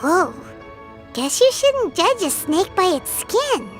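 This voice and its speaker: A woman's voice: very high-pitched, big pitch swings, takes it slowly, full character voice. A woman voicing a tiny floating girl companion who talks about herself in the third person, in game voice acting with music behind. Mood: curious.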